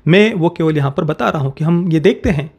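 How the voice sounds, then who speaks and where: A man's voice: fairly high pitch, fairly quick, gravelly, darkish tone, slightly rough. A man in his thirties with an Indian accent gives opinionated news commentary to camera, emphatically repeating and rephrasing his points. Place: small indoor home studio. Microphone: close desk microphone.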